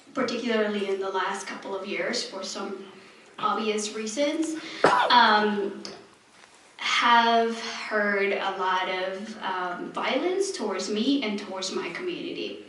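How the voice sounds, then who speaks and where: A woman from Mexico with a Mexican accent, a native Spanish speaker on a panel, speaking earnestly with many pauses and ums. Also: mid-range voice, fairly bright tone. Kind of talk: earnest personal account on a panel. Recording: echoey hall, far from the mic, faint noise.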